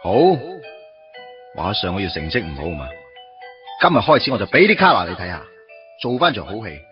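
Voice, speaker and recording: An actor playing a wry, teasing office worker in a Cantonese radio drama, with a Hong Kong accent and a casual, grumbling delivery. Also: male voice, deep voice, sing-song, a little fast, muffled recording, faint music behind. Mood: grumbling, scheming. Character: wry, teasing male office worker meeting his first love.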